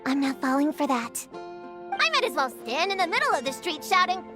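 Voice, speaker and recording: A woman's voice: high-pitched, very animated, moderate pace, music in the background. A woman voicing a proud, hot-tempered young witch-hunter girl in a video game, scornful and combative. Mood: scornful, dismissive. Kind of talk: video game cutscene voice acting.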